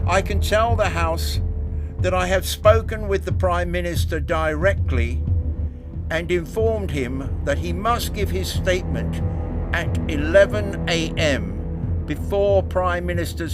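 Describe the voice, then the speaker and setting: Male, actor as a pompous House Speaker, high voice, declamatory, music behind, radio drama. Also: lightly acted. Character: stern, officious male parliamentary Speaker.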